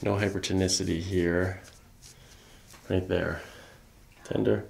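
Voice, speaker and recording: A man, middle-aged, working as a chiropractor, calmly talking a patient through each step of an exam in a small office. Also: low-pitched, takes it slowly, creaky. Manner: explains each step before doing it. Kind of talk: calm clinical exam talk to a patient. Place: small carpeted clinic office.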